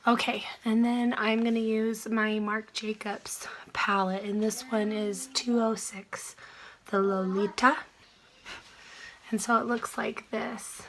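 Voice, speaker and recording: A woman who is sick and losing her voice, chatting softly through a makeup tutorial, often dropping into a whisper. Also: medium pitch, a little hiss or hum, poor audio. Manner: soft, chatty, trailing into whispers. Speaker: beauty vlogger.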